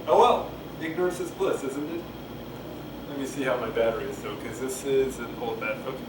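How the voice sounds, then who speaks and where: A man's voice: mid-range voice, fairly quick. A man casually reading a play aloud to camera, rambling, hesitant and self-deprecating, with muttered asides. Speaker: amateur play reader. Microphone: camcorder microphone at some distance. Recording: echoey, noisy camcorder audio from far off.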